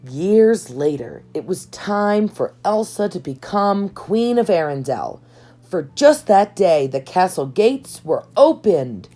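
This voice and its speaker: A woman reading a children's storybook aloud in an expressive storytelling style, with measured, dramatic delivery. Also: fairly low pitch. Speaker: storybook reader. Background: background music.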